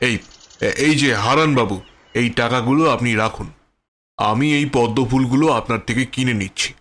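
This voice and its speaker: Man playing a mid-pitched courteous city merchant. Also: fairly bright tone, lightly acted. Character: courteous, brisk city flower merchant, impressed. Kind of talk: cartoon fairy-tale voice acting.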